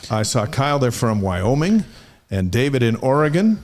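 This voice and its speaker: A man's voice: mid-range voice, sing-song, normal speed, a little mellow. A man with an American accent hosting a sports talk show in a casual, conversational style. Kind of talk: casual sports talk with live chat shout-outs.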